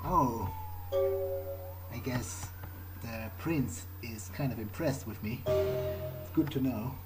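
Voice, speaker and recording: A man's voice: medium pitch, takes it slowly, rough recording. A man improvising aloud in character during a game playthrough, speaking in a hesitant, thoughtful, musing way. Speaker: gamer playing in character. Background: game music.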